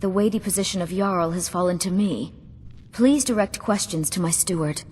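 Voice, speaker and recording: A woman's voice: lowish voice, moderate pace, darkish tone, a little hiss or hum, lightly acted. A woman voicing a young widowed ruler in a fantasy video game, regal and solemn, with grief and bitterness under her formal speech. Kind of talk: scripted game dialogue. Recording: long hall reverb.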